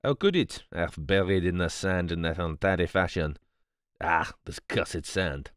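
A theatrical, fastidious Belgian detective for a storybook reading. The accent is French-speaking Belgian, with foreign turns of phrase and a declamatory, easily outraged air. The pitch swings widely, in a dry close recording. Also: male voice, lively intonation, fairly bright tone, clear, resonant tone, dry recording. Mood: indignant, fussy.

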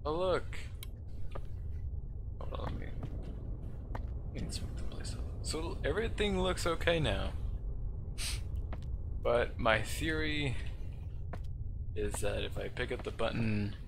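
A man casually commenting on a horror game as he plays, talking quietly on a gaming mic with the gain set high. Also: animated, takes it slowly, creaky, pauses often, background noise. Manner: quiet, then jumpy and yelling when scared. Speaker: gamer doing a walkthrough. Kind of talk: casual let's play commentary. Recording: voice over loud game music.

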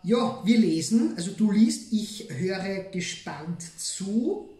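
A man's voice: high voice, very animated, takes it slowly, warm tone, reverberant. A man with an Austrian accent, a co-host doing silly, theatrical comic banter.

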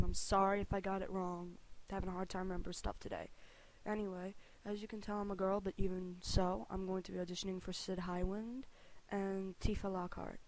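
A young woman talks casually as an amateur voice actor. She rambles in a jokey way, swears freely and has a mid-pitched voice. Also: warm tone, poor audio.

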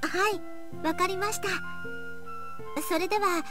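A woman voicing a polite, devoted, timid young servant girl in a soft-spoken, deferential manner. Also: very high-pitched, steady tone, a little slow, over music. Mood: polite, dutiful.

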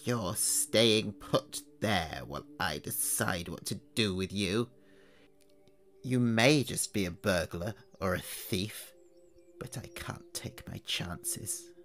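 A man's voice: fairly low pitch, slow pace. A man putting on a stern old witch woman. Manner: sharp, interrogating. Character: suspicious old woman witch living alone.

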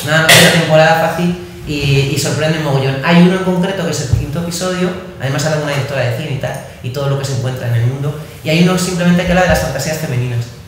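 A woman with a Spanish accent chatting animatedly on a panel, recommending series with quick asides and laughs. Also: low voice, noisy background. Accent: Spanish from Spain. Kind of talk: casual panel chat. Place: large echoey library room. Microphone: table mic on stand. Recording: echoey room, audience murmur.

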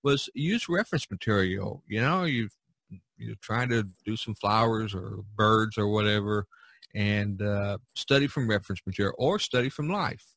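A man hosting a podcast in casual chat, talking in a halting, conversational way. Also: on the low side, gravelly, fairly bright tone, no room sound. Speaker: podcast host. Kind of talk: casual chat about topics from a list.

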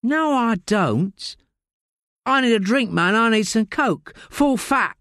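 A man voicing a scrawny, edgy young woman suspect, shrill and agitated. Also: lively intonation, takes it slowly, sharp tone, dry recording, clean studio sound, heavily acted.

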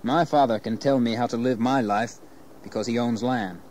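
An actor playing an ambitious, proud young English gentleman settler in a historical audio drama. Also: medium pitch, steady tone, moderate pace, close to an everyday voice. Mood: resolute, proud. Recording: noisy 1980s audio drama tape.

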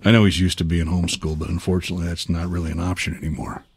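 A man playing a gruff but polite middle-aged police detective in a video game, with a husky voice. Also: deep voice, flat delivery, very fast. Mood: businesslike, polite. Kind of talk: video game cutscene acting.

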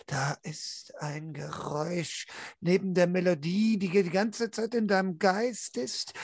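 A young man narrates as game master. His voice is husky and dry, and he speaks very slowly, pausing for suspense. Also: sits high, no echo. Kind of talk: atmospheric tabletop narration.

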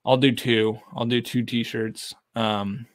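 A man's voice: medium pitch, little pitch movement, slow, no echo, clean studio sound. A man in his thirties from Texas, casually chatting on a livestream from a home bar and reading out viewer comments. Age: 30s. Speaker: whiskey channel host. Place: home bar with lit shelves of bottles. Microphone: desk condenser mic.

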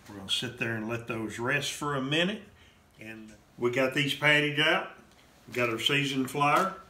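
A man's voice: medium pitch, normal speed, sharp tone, slight background noise. A man, hosting a cooking demonstration in his home kitchen and walking plainly through each step. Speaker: cooking show host. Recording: roomy kitchen echo.

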